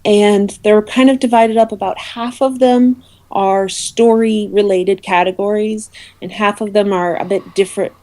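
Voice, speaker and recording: A woman's voice: medium pitch, fairly even intonation, unhurried, few pauses, mellow. A woman speaking as an interview guest, explaining things earnestly in a conversational way. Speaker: interview guest, awards committee representative.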